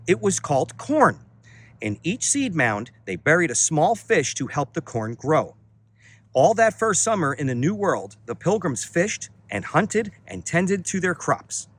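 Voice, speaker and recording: A man reading a children's story aloud outdoors in an expressive, dramatic way, with deliberate phrasing and emphatic pauses. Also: a little high, husky. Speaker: children's read-aloud reader, teacher and entertainer. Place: outdoors on a beach.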